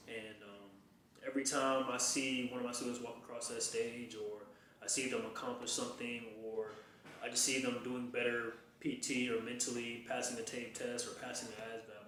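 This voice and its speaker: A man's voice: mid-range voice, fairly bright tone, a little hiss or hum. A man from Kentucky, a pre-military instructor, answering interview questions earnestly with some fillers. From Kentucky, USA. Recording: echoey room, mic at a distance.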